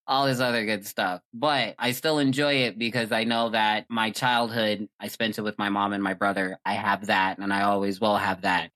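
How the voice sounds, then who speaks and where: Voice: medium pitch, fairly bright tone, poor audio. A young adult podcast host chatting casually and telling personal stories in an informal, rambling way. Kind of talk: casual chat, personal storytelling.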